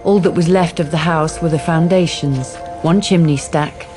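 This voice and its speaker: A woman's voice: low-pitched, steady tone, normal speed, music in the background. A woman reading scripted literary narration for a radio drama.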